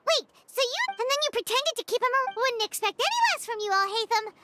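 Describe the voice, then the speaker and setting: A tiny, excitable female companion with a very high, squeaky voice that swings up and down. She talks about herself in the third person. Loud game music plays under the dialogue. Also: quick pace. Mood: surprised.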